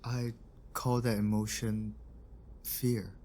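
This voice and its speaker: Guy doing a kindly engineer in an audio roleplay, lowish voice, chatty and reassuring. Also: level tone, slow pace, mellow, a little hiss or hum. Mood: somber, gentle. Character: kindly male engineer, protective inventor of a robot.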